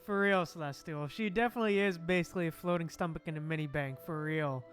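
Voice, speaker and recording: A man's voice: a little high, fast, fairly bright tone. A man giving casual, slangy reaction commentary as a laid-back game streamer. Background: game music.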